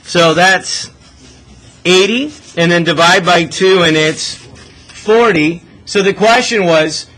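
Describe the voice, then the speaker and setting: High, bright-voiced man teaching math. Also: slow pace. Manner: informal, frequent check questions. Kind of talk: classroom lesson, working a problem aloud. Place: classroom with students. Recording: noisy classroom recording.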